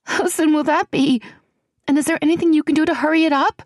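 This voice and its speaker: A woman voicing a frightened young woman who speaks high and breathlessly to her friend. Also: fairly even intonation, fast talker, dry recording, studio quality, lightly acted. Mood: eager.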